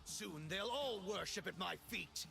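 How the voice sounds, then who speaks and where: A man's voice: high-pitched, lively intonation, unhurried, creaky, sharp tone, heavily acted. A man voicing a cocky, power-hungry young villain in a video game, smug, dramatic and teasing. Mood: grandiose. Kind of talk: video game character voice lines. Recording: game music behind the voice.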